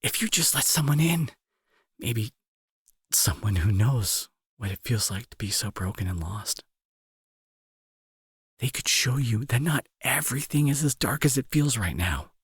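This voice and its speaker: Man acting a husky, remorseful bully. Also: mid-range voice, lively intonation, no echo, clean studio sound. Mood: earnest, consoling. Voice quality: husky, breathy. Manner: halting, emotional, earnest pleading. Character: angry male bully turned remorseful, protective, grieving brother. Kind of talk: intimate dramatic audio roleplay monologue.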